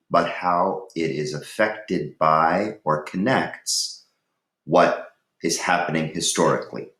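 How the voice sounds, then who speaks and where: A man with a deep voice teaches and reads aloud. He is deliberate and instructive, leaving pauses between phrases. The room around him is noticeably echoey. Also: a bit bright. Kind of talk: lesson talk and chapter read-aloud.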